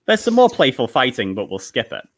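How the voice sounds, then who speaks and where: A man's voice: medium pitch, wildly swooping pitch, brisk. A man reading stage directions as narrator in a live comedic table read, with an amused manner.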